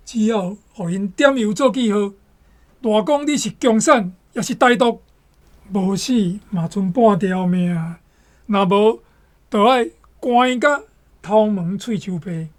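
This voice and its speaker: Taiwanese commentator with a medium-high register. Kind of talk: reflective commentary.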